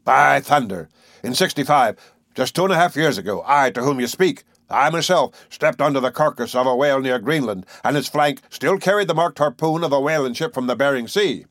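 A man voicing an excitable, boastful Canadian whale harpooner in an audiobook, blunt and emphatic. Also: mid-range voice, a little fast, no echo, studio quality, pitched above the performer's own voice. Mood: skeptical, indignant. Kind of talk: audiobook character voice.

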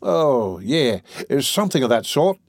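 A man's voice: mid-range voice, very animated, unhurried, no room sound, studio quality, pitched above the performer's own voice. A man voicing a greedy, cowardly young lout in a storybook reading, self-important and full of questions. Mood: wary, angling.

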